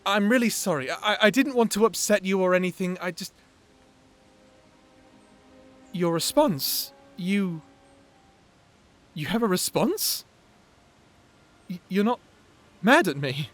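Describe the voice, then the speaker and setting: A man's voice: high-pitched, expressive, measured pace, pauses often, soft background music. A man playing a shy, flustered young man in love, stammering and hesitant in a dramatic fantasy audio roleplay. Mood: nervous, confessing.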